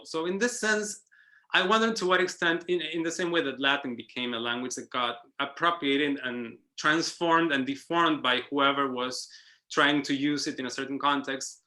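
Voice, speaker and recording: A man's voice: medium pitch, sharp tone. A man speaking in an academic round-table discussion, thinking aloud with frequent restarts and a questioning "no?" tag. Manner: hesitant, many restarts and tag "no?". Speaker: guest speaker. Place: online round-table call.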